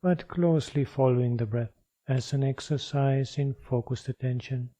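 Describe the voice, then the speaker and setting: A man leading a guided meditation as a teacher, speaking in a measured, instructional way with long pauses. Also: medium pitch, warm tone.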